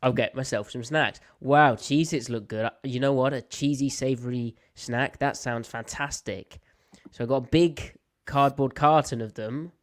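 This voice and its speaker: Young British male podcast host, smooth-voiced, a bit snuffly, playful and opinionated. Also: mid-range voice, a little slow. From United Kingdom. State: slight head cold, snuffly. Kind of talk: casual live podcast chat.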